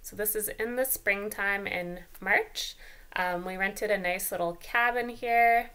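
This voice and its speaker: A woman's voice: medium pitch, a little slow, clear, resonant tone. A woman from Canada hosting a travel vlog, narrating a voice-over in an easygoing way with frequent ums. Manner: relaxed narration with filler ums. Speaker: vlog host.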